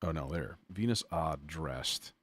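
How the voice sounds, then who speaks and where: A man's voice: a bass voice, lively intonation, unhurried, a bit bright, husky. A man hosting a casual live music production demo, muttering as he thinks aloud with folksy phrasing. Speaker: music production tutorial host.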